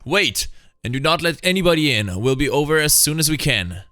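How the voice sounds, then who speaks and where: A man voicing a calm, inquisitive police commissioner in a comic-book drama, brisk and authoritative. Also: on the high side, dry recording, clean studio sound. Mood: businesslike.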